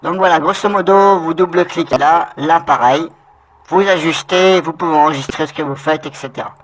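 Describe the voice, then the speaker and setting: A man speaks through a voice changer that lifts his voice high. He gives a loose, rambling software demonstration, thinking aloud as he goes. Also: moderate pace, slight background noise, poor audio. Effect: pitch-raising fairy voice changer. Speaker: software tutorial host. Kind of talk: informal screen-recorded software tutorial.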